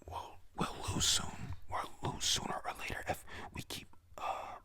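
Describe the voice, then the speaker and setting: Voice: measured pace, bright, forceful tone. A reader whispering the lines of a grim, determined male pirate captain in a storybook-style recap.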